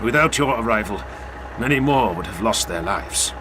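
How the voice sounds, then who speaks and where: A man's voice: lowish voice, lively intonation, normal speed, noisy background, natural voice. A man voicing a courteous army commander, speaking formally and graciously in a dramatized audiobook. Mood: formal gratitude. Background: music.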